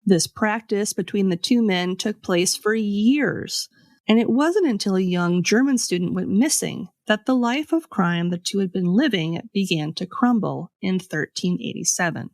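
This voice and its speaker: Woman podcast host reading out a story, chatty but with dramatic pauses, mid pitch, really dry sound. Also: animated, a little mellow, no echo. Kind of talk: scripted storytelling with casual asides.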